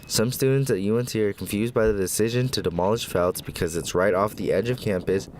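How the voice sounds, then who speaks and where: A young male student reporter reads his news script in a measured, even way. His voice sits a little low, and faint noise hums under it. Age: young adult.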